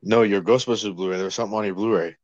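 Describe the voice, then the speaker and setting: A man's voice: fairly low pitch, fairly even intonation, racing, dry recording, lo-fi sound. A young man co-hosting a podcast in casual chat, teasing his partner playfully. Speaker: podcast co-host.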